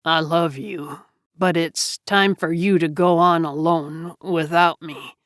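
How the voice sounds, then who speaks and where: A woman's voice: sits low, fairly even intonation, slow, dry recording, clean studio sound. A woman voicing a dying man who speaks weakly and tenderly in a storybook reading. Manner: faint, strained, tender. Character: dying man, gentle and weak.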